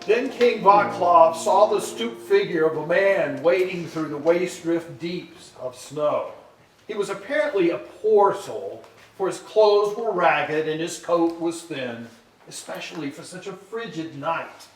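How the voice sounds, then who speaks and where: A man's voice: a little high, animated, measured pace. A man giving scripted storybook narration at a live staged reading, recorded at a distance in an echoey hall.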